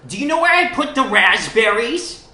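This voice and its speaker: A man's voice: extremely high pitch, sing-song, moderate pace, sharp tone, pitched above the performer's own voice, exaggerated voice. A man voicing a dotty, anxious woman making a prank phone call. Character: dotty, anxious caller named Carol, a woman. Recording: roomy living room recording.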